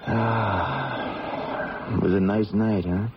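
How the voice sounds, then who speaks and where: An actor playing an easygoing, skeptical husband in a 1970s radio drama, talking in a casual, teasing way. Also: male voice, on the low side, extremely slow. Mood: indulgent. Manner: casual, wry, drawling hesitations. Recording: muffled, noisy old radio broadcast.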